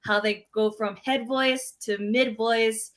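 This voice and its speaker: A young woman, a singer-songwriter guest, chatting casually in an interview, bubbly and quick to laugh. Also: a little high, fairly even intonation, a crowd in the background.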